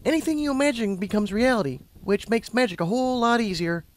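A man's voice: very high voice, fast, pitched above the performer's own voice. A man voicing a confident, kindly female unicorn pony who explains things like a patient mentor. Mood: upbeat, instructive. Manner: assured, explanatory.

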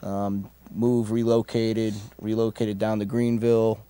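A man from Connecticut who plays bass talks through his story in a laid-back way. His voice is fairly low. The sound is dry, with no room around it. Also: level tone, unhurried, warm tone, smooth, dry recording. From Connecticut, USA. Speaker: bass player. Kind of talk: casual interview.

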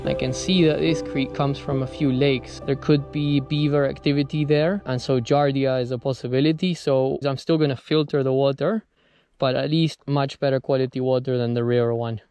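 A man in his thirties narrates his solo canoe trip in a loose, conversational way. He sits outdoors on a river, speaking to an action camera fixed to the boat. Clear background music runs beneath his voice. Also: medium pitch, normal speed. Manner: relaxed, chatty, talks to the camera while paddling. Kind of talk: casual outdoor vlog commentary. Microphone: action camera mounted on the canoe.

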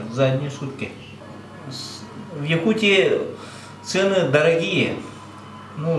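Easygoing man in his fifties, slightly high voice, echoey kitchen, steady background hiss. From Yakutia. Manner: easygoing, plain-spoken, chuckles often. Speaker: truck driver on a road trip. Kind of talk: casual vlog narration. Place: small rented apartment kitchen, indoors. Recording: echoey room with steady noise.

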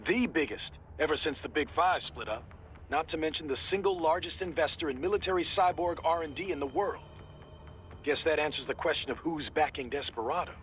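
A male actor plays an American mission support man from a video game, sardonic and exasperated. His voice sits a little high as he briefs over game music. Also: a little fast, a bit bright, natural voice, rough recording. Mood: informed, sardonic. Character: exasperated, well-informed male military support operative. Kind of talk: video game cutscene acting.

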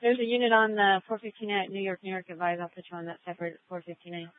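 A woman's voice: medium pitch, flat delivery, fast talker, no room sound. Over a police radio, an American woman works as a dispatcher, speaking in procedural phrases and clipped acknowledgements. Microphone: radio dispatch mic. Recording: narrow, tinny police scanner radio.

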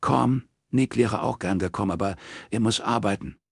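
A man plays a sincere grown woman, an old friend in an audiobook, who speaks simply. The pitch is raised from his own and the sound is close and dry. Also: normal speed, gravelly, slightly rough, dry recording. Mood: sympathetic. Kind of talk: audiobook character dialogue in German.

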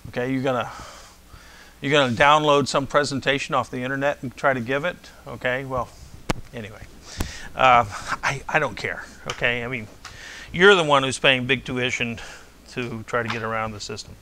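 A man's voice: mid-range voice, moderate pace, sharp tone, slight background noise. An older man lecturing to a class in a large hall, speaking conversationally through a lapel mic and often asking "okay?". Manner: conversational, rambling, checks in with "okay?". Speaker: university professor.

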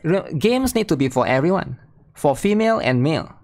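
A man's voice: fairly high pitch, sing-song, fairly quick, darkish tone. A young man giving casual reaction commentary on a stream, often checking in with questioning tags. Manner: repeats questioning tags like "right?" and "guys". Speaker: streamer reacting to a game trailer. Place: indoor room, streaming at a desk. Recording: game trailer music behind voice.

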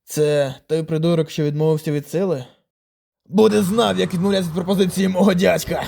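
Gravelly, hot-headed young thug acted by a man. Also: fairly high pitch, fairly even intonation, fairly quick, mellow, a little hiss or hum. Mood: menacing. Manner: taunting, aggressive. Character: hot-headed vengeful young male thug, comic-book antagonist. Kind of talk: comic dub acting.

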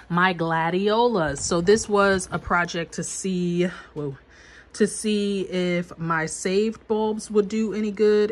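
A young woman who is a bit under the weather, with a stuffy nose, chatting upbeat to viewers as she walks through her indoor seedling shelves, filmed on a handheld phone. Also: on the low side. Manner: chatty and upbeat, addresses viewers directly with frequent ums. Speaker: gardening vlogger. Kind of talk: casual gardening vlog walkthrough. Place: indoor basement plant shelves under grow lights. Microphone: handheld phone camera.

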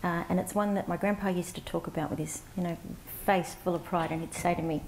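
A middle-aged woman from Central Australia with a soft voice, telling a reflective story as a conference panelist, pausing often with fillers. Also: on the low side, fairly even intonation, a little hiss or hum, poor audio. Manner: warm, reflective, halting with fillers and restarts. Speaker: panelist, CEO of an advocacy organisation. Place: conference stage before a large audience, indoors.

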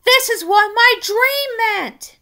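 A woman voicing a bossy, alarmed male bird in a children's storybook, shouting urgently. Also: very high-pitched, animated, takes it slowly, pitched above the performer's own voice, exaggerated voice. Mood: shocked alarm.

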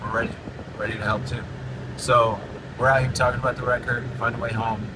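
A man in his forties hosting a casual podcast from inside a moving car, talking in a relaxed, upbeat way. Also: medium pitch, a little fast. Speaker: podcast host and band frontman. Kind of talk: casual chat. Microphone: phone at arm's length. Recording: loud road noise, slightly distant phone mic. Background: road and engine noise.

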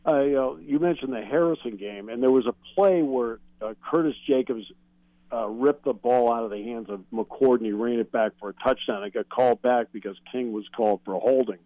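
A man's voice: medium pitch, a little fast, dry recording. American man on a dull call-in line. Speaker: guest sports broadcaster. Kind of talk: sports radio interview, analytical answers. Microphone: remote call-in connection.

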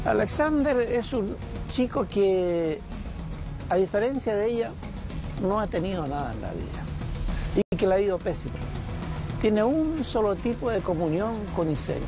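A middle-aged man speaking outdoors in a park, recounting a case emphatically to the camera. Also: high voice. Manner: expressive, emphatic storytelling. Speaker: commentator interviewed outdoors. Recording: music bed behind the voice.

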